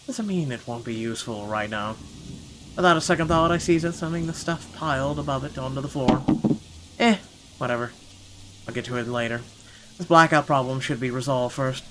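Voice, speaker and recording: Man performing irritable wealthy gentleman, visual novel narration, expressive, indignant, mid-pitched, noisy game ambience. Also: brisk, natural voice. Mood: annoyed, wry. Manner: indignant, expressive, stumbles over the script. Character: wealthy irritable British man narrating his inner thoughts. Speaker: gaming channel host. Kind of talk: dramatic read-aloud of visual novel narration.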